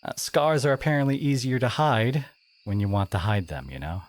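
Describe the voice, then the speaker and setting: A man playing a teenage school bully who is secretly wounded and caring, speaking in a halting, confiding, sardonic way in an intimate audio roleplay. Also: medium pitch, very animated, close to an everyday voice. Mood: vulnerable, gentle.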